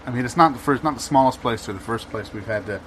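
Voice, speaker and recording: Pompous, pedantic debate guest played by a man, mid-pitched, condescending, clear vehicle and outdoor noise behind him. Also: fairly bright tone, natural voice. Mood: dry, aggrieved. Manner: digressive, condescending, deliberate. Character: pedantic, self-important male debate veteran. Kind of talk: improvised comedy audio theatre.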